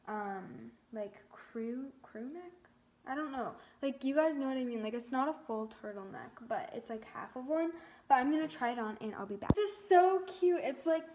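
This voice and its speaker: A young woman speaks softly in a slightly high voice, taking her time as she talks to her viewers. Her style is casual and gushing, full of "like". The home recording carries some faint noise behind her. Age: teens to young adult. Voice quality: soft voice. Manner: gushing, peppered with "like". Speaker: beauty vlogger. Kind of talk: casual chatty vlog to viewers.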